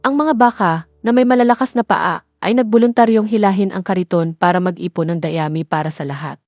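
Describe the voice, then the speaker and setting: Filipino woman storyteller over clear music. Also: medium pitch, fairly quick, darkish tone, dry recording. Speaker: children's storyteller. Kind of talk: scripted bedtime story narration. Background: music bed.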